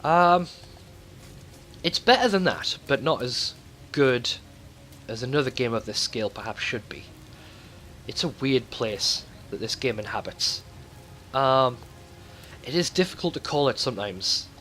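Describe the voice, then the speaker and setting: A man's voice: medium pitch, lively intonation, unhurried, pauses often, a bit bright, slight background noise. A man hosting a let's play with casual, rambling gameplay commentary and loose swearing.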